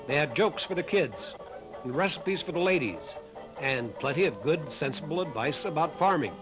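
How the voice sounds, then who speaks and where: A man reading scripted documentary narration in a measured storytelling way. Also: on the high side, expressive. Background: music.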